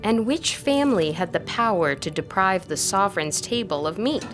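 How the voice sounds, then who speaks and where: An actress playing an earnest, courteous tutor in an audio drama, speaking in a measured way. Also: female voice, mid-range voice, lively intonation, soft background music, close to an everyday voice. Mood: instructive. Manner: measured, didactic, polite.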